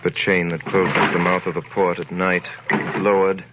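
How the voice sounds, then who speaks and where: A man's voice: low voice, flat delivery, normal speed, natural voice. An actor playing a wrongly imprisoned young sailor telling his ordeal, in a grave, theatrical 1930s radio style. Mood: grave, wistful. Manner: grave, dramatic, theatrical delivery. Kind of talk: 1930s radio drama acting and first-person narration. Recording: noisy, muffled 1938 radio broadcast.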